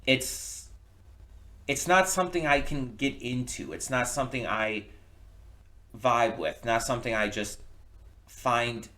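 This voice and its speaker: A man giving casual, unscripted reaction commentary, thinking aloud in a reflective, halting way and often repeating words. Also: medium pitch, pushed and bright. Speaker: reaction video host. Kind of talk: casual unscripted commentary, post-viewing thoughts.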